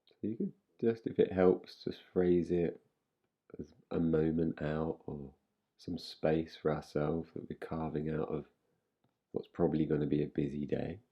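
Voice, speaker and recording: Guy doing guided meditation, really slow n soothing, long pauses, kinda muffled recording. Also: very deep voice, steady tone, husky. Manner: unhurried, soothing, gentle instruction with long pauses. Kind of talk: guided relaxation meditation, yoga nidra.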